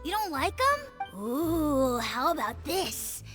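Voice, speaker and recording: A woman's voice: sits high, sing-song, slow pace, exaggerated voice. A woman voicing an eager, mischievous young child in a video game, bubbly and playful. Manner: bubbly, exclamatory. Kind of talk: English video game voice acting. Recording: music behind the voice.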